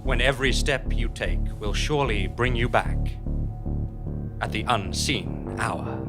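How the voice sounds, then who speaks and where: A man's voice: mid-range voice, measured pace, loud music behind the voice. A man giving scripted narration and announcements in a formal, portentous manner.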